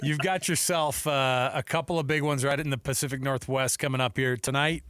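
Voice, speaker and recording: A man's voice: medium pitch, fairly even intonation, fairly quick, fairly bright tone, smooth, no room sound. A man hosting a sports radio show, chatting casually in a studio.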